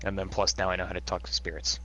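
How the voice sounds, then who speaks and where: Low, bright-voiced male player. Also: level tone, slight background noise. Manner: short, quick acknowledgments. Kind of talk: casual play at a tabletop game.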